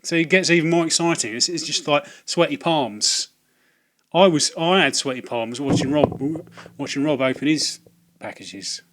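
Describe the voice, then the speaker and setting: A slightly hoarse man, talking casually to camera about video game purchases, hesitant with many ums and restarts. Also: on the high side, normal speed, fairly bright tone, a little hiss or hum. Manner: hesitant, lots of ums and restarts, wry asides. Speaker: video game collecting vlogger. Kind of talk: casual rambling vlog to camera.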